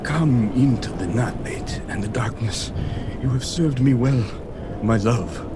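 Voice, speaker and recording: An actor playing an ancient, commanding and seductive vampire lord in a horror radio drama, with a gravelly, incantatory delivery. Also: male voice, medium pitch, slow, heavy background noise, lightly acted. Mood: longing, seductive. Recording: voice under loud music and storm effects.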